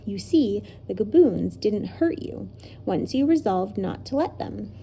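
A woman's voice: medium pitch, animated, a little slow, creaky, warm tone, a little hiss or hum. A woman voicing a weary, wise old male professor who lectures kindly but tiredly. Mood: lecturing.

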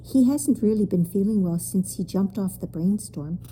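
A woman's voice: mid-range voice, normal speed, dark tone, slight background noise, close to an everyday voice, low-quality recording. A woman as a fierce, indignant young boy. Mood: growling. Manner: indignant, intense. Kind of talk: children's storybook character reading.

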